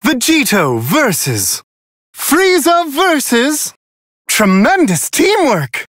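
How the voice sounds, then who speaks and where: A refined otherworldly male angel commentates a fighting game. The voice sits high and swoops dramatically, with an unhurried, genteel delivery. It stays serene, courteous and faintly amused, in a close, dry studio sound. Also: a bit bright, no room sound, heavily acted. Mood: poised announcing. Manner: prim, genteel, elongated formal phrasing.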